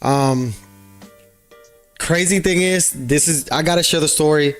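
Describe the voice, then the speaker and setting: A man from Puerto Rico talks loosely to his livestream chat, his voice sitting a little higher than most men's. He rambles and thinks out loud, circling back with fillers and restarts. Soft music plays faintly behind him. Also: a little slow. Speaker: livestreaming day trader. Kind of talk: casual livestream chat with viewers. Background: faint background music.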